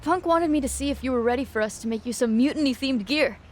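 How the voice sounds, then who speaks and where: An actress plays a naive young woman wrestler with a high voice. She talks eagerly and earnestly, like a starstruck, loyal follower in a video game story scene. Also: quick pace, slight background noise, lightly acted. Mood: eager, trusting. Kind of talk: video game cutscene dialogue.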